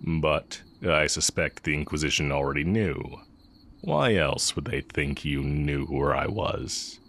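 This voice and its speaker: A man playing a sly, heretical magic teacher with secret longing, speaking in a measured, teasing way in a romantic audio roleplay. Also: extremely low pitch, fairly bright tone, full character voice. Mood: tender, confessional. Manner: measured, deliberate, teasing delivery with drawn-out pauses.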